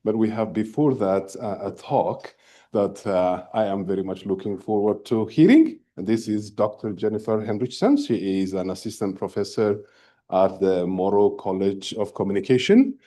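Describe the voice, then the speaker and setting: A man's voice: lowish voice, mellow. A man, a workshop organizer, introduces the next speaker in a lecture room, hesitating often with fillers and restarts. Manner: halting, with many fillers and restarts.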